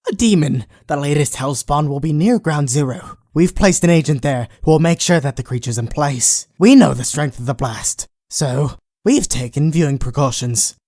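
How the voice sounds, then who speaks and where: A man's voice: on the high side, normal speed, warm tone, no echo, studio quality. A man voicing a gleeful, self-satisfied otherworldly schemer in a comic dub, smug and chatty.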